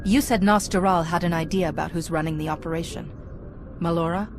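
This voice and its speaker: A woman's voice: lowish voice, fairly even intonation, brisk, over music, lightly acted. A woman playing a composed, direct Jedi commander in a video game, cool and matter-of-fact. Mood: composed, businesslike.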